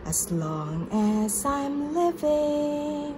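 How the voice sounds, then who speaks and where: A woman's voice: sits high, sing-song, extremely slow, over music, voice pushed up, exaggerated voice. A woman playing a loving mother who sings a tender storybook lullaby with long-held syllables. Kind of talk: slow sung lullaby.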